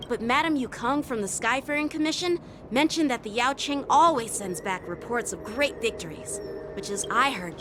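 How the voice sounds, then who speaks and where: A woman's voice: on the high side, close to an everyday voice. A woman voicing an eager, chatty teenage boy swordsman in a game. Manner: bright and chatty, animated. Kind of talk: game voice acting. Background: game soundtrack music.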